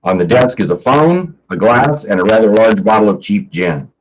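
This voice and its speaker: A man with a fairly low voice serves as the announcer and narrator of a staged reading. His delivery is plain and matter-of-fact, suited to stage directions. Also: expressive, moderate pace, poor audio.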